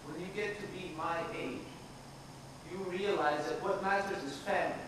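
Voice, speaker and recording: A man's voice: sits high, fairly even intonation, normal speed, lightly acted. A young man playing an ailing, resigned elderly grandfather in an amateur stage monologue. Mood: resigned, tender. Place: school theatre stage, recorded from afar. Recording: echoey stage, distant mic, hissy noise.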